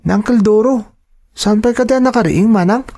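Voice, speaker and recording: Schoolchild younger sibling, Filipino accent, innocent questioning manner, audio drama. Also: female voice, fairly high pitch, moderate pace, mellow. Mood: curious, concerned. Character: studious schoolchild, curious and trusting younger sibling. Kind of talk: Ilocano audio drama acting.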